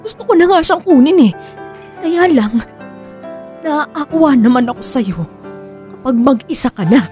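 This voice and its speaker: An actress playing a conflicted wife torn between family and lover in a radio drama. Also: female voice, high-pitched, expressive, measured pace, warm tone, faint music behind. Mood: guarded, reproachful.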